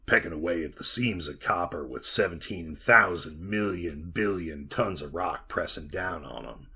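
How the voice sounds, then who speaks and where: An actor playing a weathered, folksy Montana copper miner who rambles to a listener in a retro radio drama monologue. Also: male voice, sits low, lively intonation, moderate pace, vocal fry, bright, forceful tone, husky, full character voice. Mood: folksy, wry. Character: weathered bearded Montana copper miner, 34, haunted yarn-spinner.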